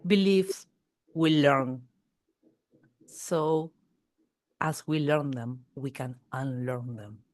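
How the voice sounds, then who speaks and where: Brief: woman, deep voice, nervous halting webinar host. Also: darkish tone, dry recording. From Argentina. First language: Spanish. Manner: nervous, halting, laughs often.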